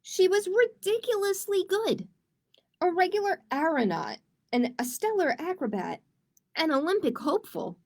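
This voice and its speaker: A woman's voice: extremely high pitch, sing-song, moderate pace, a little mellow. A woman hosting a livestreamed children's storytime as a librarian, reading aloud expressively and chatting to young viewers with questions.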